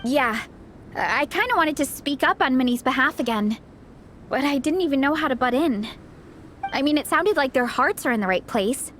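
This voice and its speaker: A woman's voice: high voice, animated, normal speed, fairly bright tone, a little hiss or hum. An actress playing an earnest, caring young athlete who captains her team in a video game. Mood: troubled, puzzled. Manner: earnest, troubled, plain-spoken.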